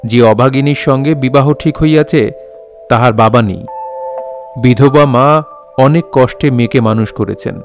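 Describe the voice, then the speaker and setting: An actor playing a dignified literary storyteller in an audio drama, speaking formally and with measured care. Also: male voice, medium pitch, soft background music, lightly acted. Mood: storytelling. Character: dignified storytelling landlord-poet, gently scolding his village listeners. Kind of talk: Bengali audio drama narration, literary diction.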